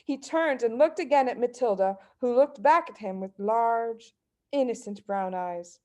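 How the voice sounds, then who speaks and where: A woman narrating a children's book as story reader, in an expressive read-aloud style. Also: a little high, a little slow.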